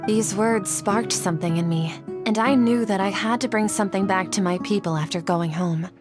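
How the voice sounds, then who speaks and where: Young female scholar acted by a woman, earnest, modest, mid-pitched, measured, video game, music behind. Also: close to an everyday voice. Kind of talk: video game dialogue acting.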